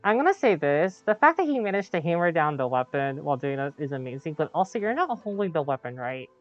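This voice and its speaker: A woman gives casual gameplay commentary as a streamer. Her voice is low and moves in lively swings. The sound is slightly muffled, with faint game music behind. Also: fast, no room sound.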